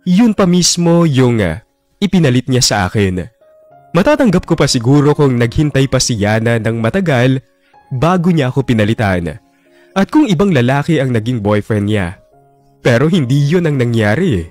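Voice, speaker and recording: A man voicing a jilted young man telling his own story in a radio drama, his voice swinging lively up and down as the hurt comes through. The sound is close and dry, with soft music underneath. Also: medium pitch, normal speed, no echo, lightly acted. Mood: bitter. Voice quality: brooding, wounded inner monologue. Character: heartbroken young man, bitter ex-boyfriend. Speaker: narrator.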